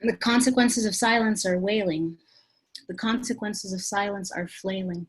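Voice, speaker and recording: A woman reciting her own poem on a video call, steady and rhythmic. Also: mid-range voice, moderate pace, breathy edge, rough recording. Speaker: workshop participant. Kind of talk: reading her poem aloud.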